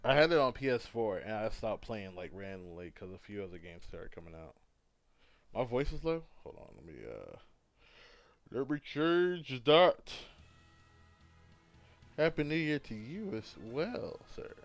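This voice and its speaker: Hungover male streamer, slow, rambling, lively pitch. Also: no room sound, soft background music. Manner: rambling, halting, talks to chat while fiddling with setup. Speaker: gaming livestreamer. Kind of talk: casual livestream chat.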